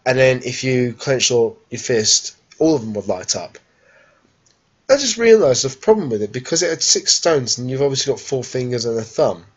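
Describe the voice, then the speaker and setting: Man, 30s, hoarse from a cold, casual rambling vlogger, muffled webcam audio. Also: medium pitch, very animated, moderate pace. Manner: rambling, chatty, many fillers. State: croaky with a cold. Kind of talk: casual vlog chat. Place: living room sofa at home, then busy indoor exhibition hall. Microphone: webcam at home, handheld phone selfie at the show.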